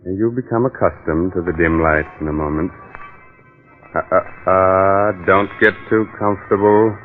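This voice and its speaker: An actor playing a sinister, teasing host of a spooky mystery show in 1940s radio style, with theatrical, eerie relish. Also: male voice, low voice, fairly even intonation, normal speed, lightly acted. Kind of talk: 1940s radio horror narration. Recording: muffled old-time radio recording with music.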